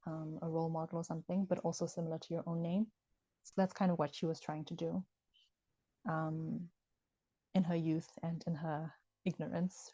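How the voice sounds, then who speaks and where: A woman talks through her ideas in a calm, measured interview. Her voice sits low and mellow, with little rise and fall. The audio is dull and lo-fi with a dry, close sound. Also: dry recording. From Ghana. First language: Ewe. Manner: measured, explanatory, thinks aloud. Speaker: author discussing her work. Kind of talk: conversational interview.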